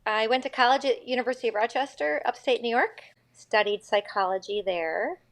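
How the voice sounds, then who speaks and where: A woman's voice: fairly high pitch, a little fast, fairly bright tone. A woman in her fifties from Connecticut, a school psychologist chatting as a podcast guest, rambling and correcting herself as she goes. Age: 50s. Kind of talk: casual podcast interview.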